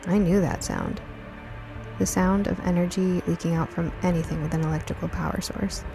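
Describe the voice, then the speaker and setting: A woman's voice: lowish voice, fairly even intonation, normal speed, gravelly, over music. A woman narrating a scripted first-person story in the style of a nostalgic radio drama. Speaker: narrator, writer and producer of the show.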